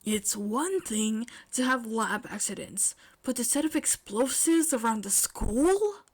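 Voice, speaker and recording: A woman's voice: fairly high pitch, animated, a little slow, husky. A woman voicing an exasperated male schoolteacher in a story, scolding emphatically. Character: exasperated male teacher scolding his troublemaking student.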